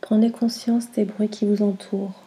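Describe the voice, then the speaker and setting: Softly spoken woman with a very mellow voice. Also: mid-range voice, level tone. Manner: soft, breathy, soothing delivery with short phrases and long pauses. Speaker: yoga nidra guide. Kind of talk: guided relaxation meditation.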